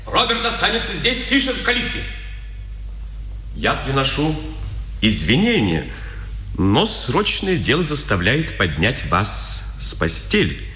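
A Gestapo officer, formal and coldly courteous yet used to command. His voice is sharp and sweeps widely in pitch. It is an old radio drama recording with a roomy, reverberant sound. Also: huge pitch swings, unhurried, long pauses, exaggerated voice. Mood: cold politeness. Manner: formal, clipped orders. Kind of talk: 1950s Soviet radio drama acting. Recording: old echoey radio drama, music behind.

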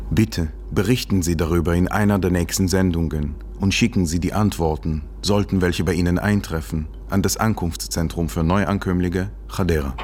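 A man's voice: low-pitched, a little mellow, slight background noise, natural voice. A man reading an official letter aloud in a formal, sober way. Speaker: reader of a letter.

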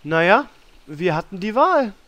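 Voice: mid-range voice, big pitch swings, unhurried, fairly bright tone, lo-fi sound. A performer voicing a sheepish, evasive author figure in a fan audio drama. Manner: hesitant, evasive.

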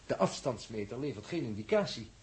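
An actor playing an analytical, puzzled spaceship mathematician in a 1960s radio drama, speaking deliberately and didactically with a husky voice. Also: male voice, medium pitch, big pitch swings, darkish tone. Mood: puzzled, precise.

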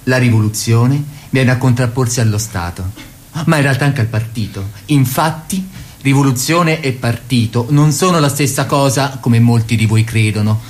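A man performs a doctrinaire party ideologue in a radio play. His medium-pitched voice is didactic and declamatory, measured and calculating as he delivers political oratory. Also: lightly acted.

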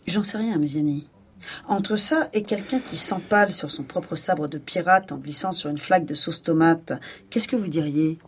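An actress playing a composed, dryly authoritative police chief in a French audio drama, speaking in a measured, businesslike way. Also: female voice, sits low, slight background noise, lightly acted. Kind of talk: French audio fiction acting.

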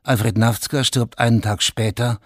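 A man's voice: mid-range voice, fairly even intonation, measured pace, music in the background. A man narrating a scripted radio drama in a sober, factual manner, in short plain statements.

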